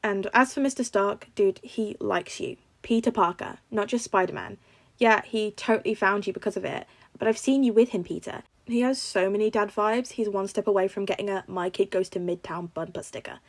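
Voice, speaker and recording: Woman playing teen boy, casual, teasing, earnest best friend, audiobook dialogue, middling pitch. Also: moderate pace, lightly acted. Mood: supportive.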